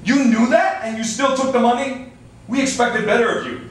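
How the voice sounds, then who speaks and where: A man's voice: very high-pitched, normal speed. Man playing a stern father, very echoey room. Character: concerned, stern father of a boxer. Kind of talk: staged play reading. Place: indoor room, live staged reading. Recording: echoey room, distant mic, faint noise.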